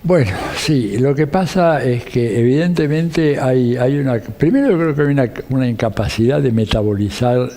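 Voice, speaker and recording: An older man in his seventies from Argentina, whose first language is Italian, speaking Spanish with an Argentine accent and slight Italian inflections, answering interview questions in a measured, thinking-aloud way with frequent restarts. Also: mid-range voice, warm tone. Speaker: guest political scientist.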